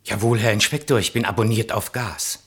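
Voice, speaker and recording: Meek little citizen, super dutiful and eager, sharp bright voice, old german radio drama. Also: male voice, on the low side, steady tone, brisk. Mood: proud, zealous. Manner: deferential, earnest, eager. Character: meek, earnest, zealously dutiful male citizen, abandoned husband. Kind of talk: 1960s German radio play acting.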